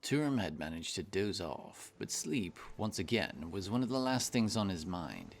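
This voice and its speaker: A man's voice: deep voice, very animated, moderate pace, a little hiss or hum. A man giving scripted narration of a fantasy story as its narrator.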